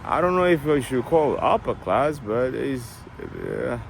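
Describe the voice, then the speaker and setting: A middle-aged man with a Tanzanian accent, answering a street interview in a halting way with many restarts. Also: mid-range voice, wildly swooping pitch, gravelly. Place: city street outdoors. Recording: outdoor street noise.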